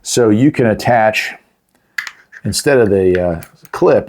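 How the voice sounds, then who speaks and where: A man's voice: on the low side, a little mellow, a little hiss or hum. A middle-aged man giving a casual, unscripted product review in a folksy, chatty, plain-spoken way. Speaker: host of a product review channel.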